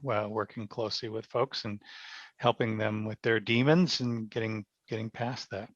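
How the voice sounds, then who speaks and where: A man talking casually and reflectively as a podcast guest, thoughtful and halting, often pausing mid-sentence. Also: lowish voice, fairly even intonation, dry recording. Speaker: podcast guest, amateur theater member. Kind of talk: casual reflective interview conversation. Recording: dry, dull lo-fi sound.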